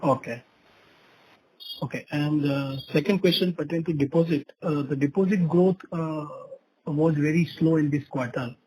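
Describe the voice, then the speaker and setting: A man's voice: fairly high pitch, moderate pace, a little mellow. A man with an Indian accent asking questions as an analyst on an earnings call, in hesitant, stop-start phrases. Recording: faintly noisy conference call.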